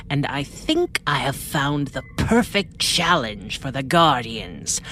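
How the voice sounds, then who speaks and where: A woman's voice: low-pitched, fairly bright tone, a little hiss or hum. A woman playing a haughty, scheming alien scientist in an audio drama, with formal diction. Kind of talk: audio drama villain acting.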